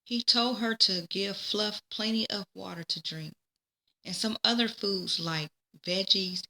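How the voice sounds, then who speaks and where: A woman narrates a children's read-aloud book at a slow, deliberate pace. Her voice is bright and forward with a noticeable creak, and her phrasing is expressive. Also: medium pitch. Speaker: storybook reader.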